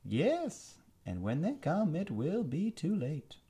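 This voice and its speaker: A sneering, gloating alien warlord, villainous and cruel, as a man puts him on in a read-aloud storybook. The voice sits high above the reader's own and swoops widely, with a gravelly rasp, slow and savoring every threat in a mock-British lilt. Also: huge pitch swings, mellow, exaggerated voice. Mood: menacing. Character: gloating evil alien male warlord commander.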